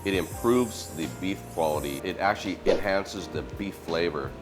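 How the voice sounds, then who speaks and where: A man in his sixties from Montana with an American accent, a chef explaining beef aging in a relaxed, halting way. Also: low-pitched. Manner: relaxed, repeats words as he explains. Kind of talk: casual interview. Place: commercial kitchen butchery room, indoors. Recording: music bed under the voice. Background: background music.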